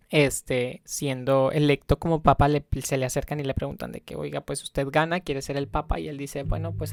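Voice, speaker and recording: A man's voice: medium pitch, no echo, clean studio sound. A young man telling a casual travel vlog story, rambling with many fillers and self-corrections. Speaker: travel vlogger.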